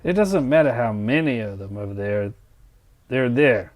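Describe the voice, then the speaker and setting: A man's voice: mid-range voice, expressive, unhurried, natural voice. A man playing a grumpy older mine technician, set in his ways, curt and resentful in dramatized dialogue. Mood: indignant grumbling.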